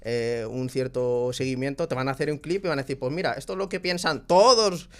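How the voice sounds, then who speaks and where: A young man tells stories in an animated way and acts out quotes as he goes. His voice sits at an average pitch and has an easy conversational rhythm. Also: a little fast. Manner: animated storytelling with laughs and acted-out quotes. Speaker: guest. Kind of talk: casual discussion.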